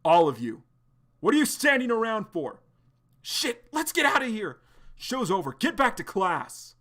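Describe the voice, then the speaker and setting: A man's voice: medium pitch, flat delivery, a little slow, creaky. A man voicing a tired, stern young man who gives orders. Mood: commanding. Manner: weary, commanding.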